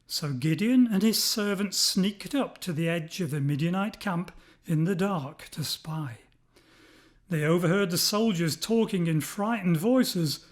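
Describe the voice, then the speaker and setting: A male storyteller narrates for children. His voice sits high for a man. He reads expressively from a script at a slow, careful pace.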